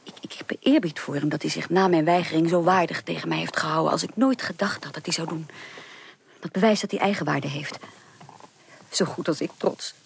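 Proud young upper-class lady in love, lowish voice, halting and intense, noisy 80s radio play. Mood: agitated pride. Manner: emphatic, halting with repeated words. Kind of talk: Dutch radio drama acting. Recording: clearly noisy 1980s radio recording.

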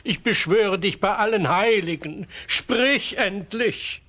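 An actor playing a worried, earnest peasant father in a German children's radio drama, husky, solemn and beseeching. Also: high voice, takes it slowly, sharp tone, full character voice. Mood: pleading.

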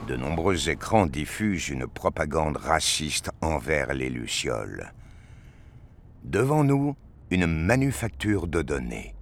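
A man's voice: low-pitched, a bit bright, slight background noise. A man reading scripted narration for a science fiction audio drama.

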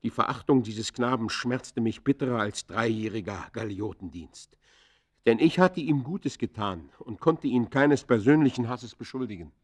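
An actor playing an embittered ex-convict in a radio play, confessing in theatrical, literary that swings from hushed to fierce. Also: male voice, mid-range voice, moderate pace, close to an everyday voice. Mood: bitter, anguished. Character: embittered male ex-convict confessing his descent into crime. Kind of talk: German radio play acting, literary monologue.

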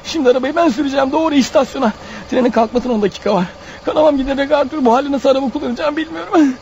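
A man's voice: very high voice, very fast, darkish tone. An actor playing a desperate, wounded killer on the run in a radio drama, agitated, paranoid and muttering to himself as his strength fails. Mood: anguished, self-justifying. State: weak, bleeding, fighting off sleep. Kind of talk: radio drama acting with inner monologue. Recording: dull radio recording with faint noise.

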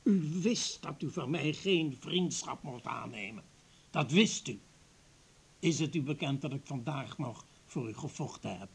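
An actor playing an embittered, grieving older professor in a radio play, husky and weighty, full of wounded accusation. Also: male voice, medium pitch, lively intonation, unhurried, full character voice. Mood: bitter, wounded. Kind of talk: Dutch radio play acting.

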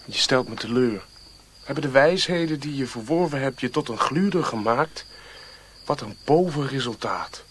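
An actor playing an irritable Western telegraph operator in a radio play, blunt and challenging. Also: male voice, mid-range voice, lively intonation, moderate pace, a bit bright, a little hiss or hum, lightly acted. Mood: scornful.

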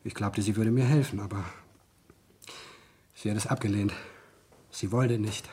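An actor playing a cornered, guilt-ridden office clerk in a 1970s German radio crime drama, speaking in a halting, pleading manner. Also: male voice, on the low side, steady tone, dark tone, husky. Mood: remorseful, confessing.

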